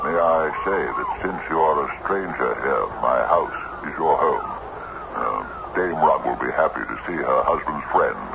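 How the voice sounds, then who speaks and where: Haunted courtly gentleman, very low swooping voice, hesitant, old-time radio drama, muffled noisy recording. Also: expressive, normal speed, creaky, full character voice. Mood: courteous, hesitant. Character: haunted, weary, bewildered old-time Boston wanderer, courteous husband and father.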